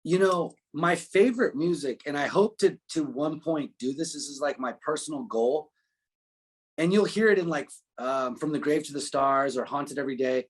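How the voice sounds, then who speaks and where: A man with a slightly high, husky voice talks casually as a musician being interviewed. His delivery is animated and conversational, loose and unpolished. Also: moderate pace. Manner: animated storytelling, frequent profanity and filler phrases. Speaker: musician guest.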